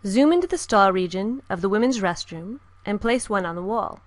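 A woman giving calm, scripted step-by-step narration for a software tutorial. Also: mid-range voice, moderate pace. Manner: calm, even, step-by-step instruction. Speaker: software instructor. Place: voice-over with screen recording.

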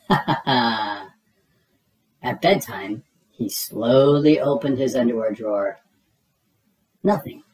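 A man narrates a children's picture book at a very slow pace. His mid-pitched voice is dramatic and suspenseful, drawing out key words. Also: darkish tone, rough recording. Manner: suspenseful, drawn-out emphasis. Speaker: storybook narrator.

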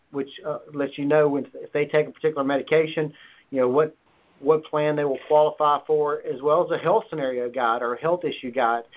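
A man with a slightly high voice hosts a conference call. He talks in an explanatory business style, and the sound is dull and narrow, as heard through a telephone. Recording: dull, band-limited conference phone line.

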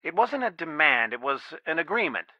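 A man's voice: fairly high pitch, sing-song, fairly quick, dry recording, highs cut off. A man voicing a stern, worried middle-aged father and lawyer in a video game, earnest, firm and protective. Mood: earnest, reflective. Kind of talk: video game voice acting, one side of phone conversations.

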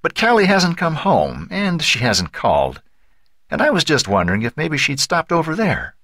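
A man's voice: sits low, wildly swooping pitch, moderate pace, a bit bright, slightly rough, dry recording, studio quality, full character voice. A man voicing a worried, polite mother in an audiobook, tense and tentative as she asks questions. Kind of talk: audiobook character acting.

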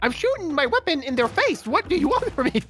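A man's voice: very high-pitched, big pitch swings, fast talker, a bit bright. A young man bantering casually during gameplay in a goofy, joking way. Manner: goofy, jokey. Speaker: gaming video player. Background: background music.

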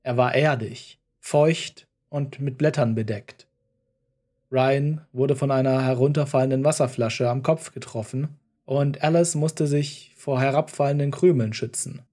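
Ein Mann liest als Erzähler eine Geschichte vom Skript. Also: male voice, mid-range voice, fairly even intonation, measured pace, mellow. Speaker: narrator. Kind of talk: scripted story narration.

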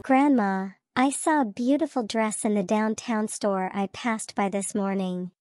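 A woman's voice: medium pitch, unhurried, a little mellow, soft background music, lightly acted. A woman voicing an eager little girl in a cartoon story, childlike and pleading. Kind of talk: cartoon voice acting.

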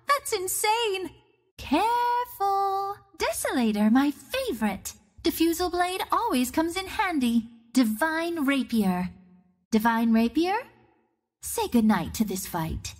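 A woman's voice: very high voice, very animated, takes it slowly, exaggerated voice. A woman playing a cocky, playful young archer heroine of the wind in a video game, with a breezy, sassy, theatrical delivery. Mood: excited, sassy, then confident, playful. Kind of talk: video game hero voice lines.

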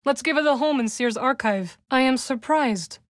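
A fierce young witch warrior as a woman acts her out for a video game. Her voice is strikingly high and recorded very dry. She speaks in short, commanding barks, a loyal companion in the thick of combat. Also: normal speed, dry recording, lightly acted. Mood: calm, curious. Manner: terse, clipped, commanding.

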